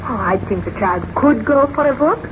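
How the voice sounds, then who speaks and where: A woman's voice: on the high side, expressive, normal speed, lightly acted. Woman acting earnest baroness, muffled vintage radio. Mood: gentle. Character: gentle, anxious young baroness, devoted wife. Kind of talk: 1930s radio serial acting. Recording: noisy muffled 1930s radio recording.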